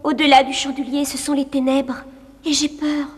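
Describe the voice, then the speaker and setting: A woman voicing a gentle, sorrowful young spirit princess in a fairy-tale cartoon, wistful and melodramatic. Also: high voice, flat delivery, normal speed, natural voice.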